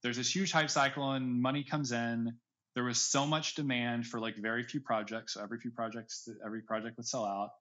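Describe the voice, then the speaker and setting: Male interview guest, medium pitch, conversational and roundabout delivery, slightly lo-fi recording. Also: level tone, brisk, a bit bright. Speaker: podcast guest. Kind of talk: casual conversational interview chat. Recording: slightly dull, lo-fi audio.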